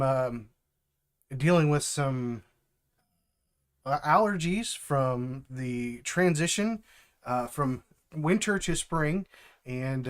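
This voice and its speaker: A middle-aged man, stuffed up from allergies, chatting casually on a live stream from home, halting and full of fillers. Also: a little high, clear, resonant tone. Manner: halting, with many fillers and restarts. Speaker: streamer and developer mentor.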